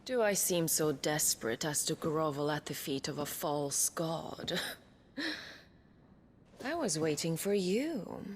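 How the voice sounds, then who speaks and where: A woman playing a proud, seductive noblewoman in a video game, speaking in a haughty, breathy, theatrical way. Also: sits low, lively intonation, takes it slowly, slight background noise. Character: proud, seductive noblewoman devoted to her liege, bitter and wounded. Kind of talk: dramatic video game acting.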